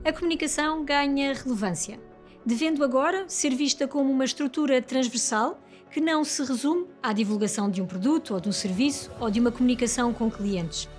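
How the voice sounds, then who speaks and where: A woman's voice: high-pitched, fairly quick. A woman with a European Portuguese accent reads a scripted corporate report as narrator. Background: music.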